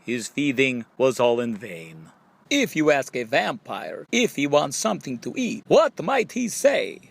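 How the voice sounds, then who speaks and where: A man with a distinctly creaky, gravelly voice reads a book of riddles aloud. He takes his time, posing each question in a playful way and stretching out the punchline. His pitch sits in an ordinary male range. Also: very animated, measured pace, dry recording. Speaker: audiobook reader. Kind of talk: scripted reading of question-and-answer jokes and riddles.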